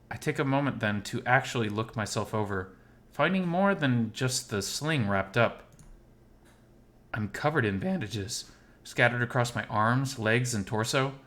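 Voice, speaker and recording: A man's voice: medium pitch, measured pace, fairly bright tone, lightly acted. Man voicing a tender, wistful furry visual novel hero. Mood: pained, puzzled. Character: Dorian, tender lovesick male furry animal character. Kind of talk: first-person visual novel narration.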